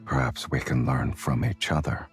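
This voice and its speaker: A man playing a calm, confident, teasing romantic hero in a game, speaking in a composed, intimate way. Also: rumbling low, moderate pace. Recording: music behind the voice.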